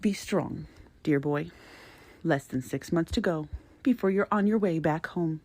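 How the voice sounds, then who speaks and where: A prim, matronly lady played by a woman reads her letter in a husky voice with widely swooping intonation. She is fussy, emphatic and easily scandalized, with old-fashioned, theatrical diction in a dramatic audio letter. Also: deep voice, darkish tone, no echo, exaggerated voice. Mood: fond, firm.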